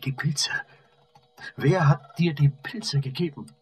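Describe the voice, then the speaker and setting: A man's voice: a little high, slow, darkish tone, slightly rough, heavily acted. A man acting in a radio play as a harried, irritable doctor who snaps orders and asks terse, insistent questions. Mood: irritable, urgent. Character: harried, irritable male doctor, urgent and snappish. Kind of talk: German radio drama acting.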